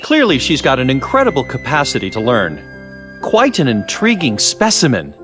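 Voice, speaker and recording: An actor playing a clever, genial scientist in a video game, chatting and lecturing in an unruffled way. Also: male voice, mid-range voice, huge pitch swings, moderate pace, over music. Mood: intrigued, explaining. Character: clever, genial, sly male scientist doctor. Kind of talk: English video game voice acting.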